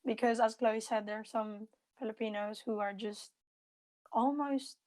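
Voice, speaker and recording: A woman co-hosting a casual panel podcast, speaking in a measured, even way. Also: fairly high pitch. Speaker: podcast co-host.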